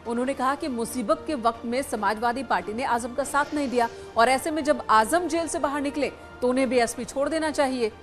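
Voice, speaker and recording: A woman's voice: high voice, fairly quick, few pauses, faint music behind. A woman anchoring a news bulletin with scripted presentation.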